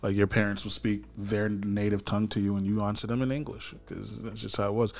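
A young man from a Haitian family raised in America, co-hosting a podcast in relaxed chat, swearing often and trailing off with ums. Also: lowish voice, fairly quick, creaky. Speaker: podcast co-host. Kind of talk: casual chat.

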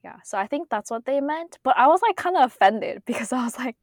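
A woman's voice: high voice, lively intonation, a little fast, no room sound, studio quality. A young woman from Korea co-hosting a podcast in casual chat, talking with many fillers and frequent laughs. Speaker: podcast co-host.

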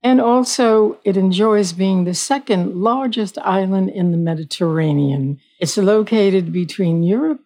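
A woman's voice: medium pitch, unhurried, hardly any pauses, warm tone. An older woman hosting her own show, talking in a chatty, folksy way and repeating her points. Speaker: host. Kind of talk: solo podcast talk.

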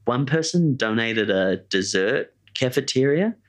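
A young New Zealand man co-hosting a podcast, chatting casually in a somewhat monotone, rambling way with lots of fillers. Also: mid-range voice, measured pace. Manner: laid-back, rambling with fillers like "like" and "eh". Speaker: podcast co-host. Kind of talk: casual chat.

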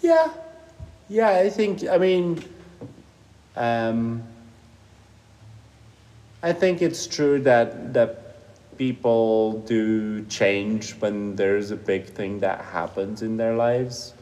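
A man's voice: medium pitch, animated, drawn-out words, long pauses, darkish tone. A man, a Dutch speaker and teacher, answering interview questions thoughtfully and hesitantly with many fillers. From Flemish Belgium. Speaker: primary school teacher interviewed by a pupil.

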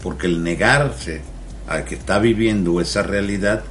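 Radio guest, male, Venezuelan accent, very low voice, earnest explainer, faint noise behind. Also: normal speed, fairly bright tone. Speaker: guest on mental health. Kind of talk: radio interview.

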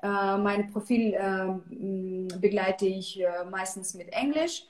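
A woman whose first language is Russian, a therapist-coach answering interview questions with halting speech and many filler sounds over a video call. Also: medium pitch, a little mellow, roomy sound.

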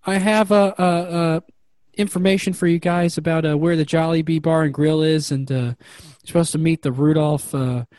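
A man's voice: on the high side, fairly even intonation, normal speed, darkish tone, dry recording. A man narrating a comedic tabletop game as game master, rambling with lots of fillers. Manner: rambling, many fillers, laughs often.

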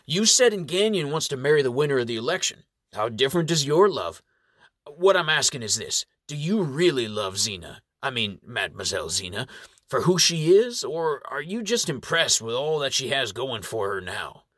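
A man reading a storybook voices a cheerful, wise old male reverend giving gentle advice. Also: medium pitch, sing-song, moderate pace, dry recording, studio quality. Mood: advising. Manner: gentle, advising.

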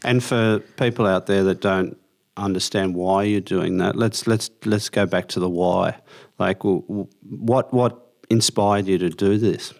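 A man hosting a podcast in a studio, asking relaxed, short interview questions with plenty of fillers. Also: low-pitched, unhurried, creaky, few pauses. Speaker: podcast host. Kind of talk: casual interview.

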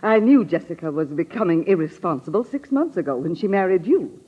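A woman's voice: lowish voice, big pitch swings, fairly quick, breathy edge, muffled recording. A woman acting a determined, suspicious middle-aged sister-in-law in a 1940s radio drama, blunt and accusing, on an old radio recording. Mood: indignant.

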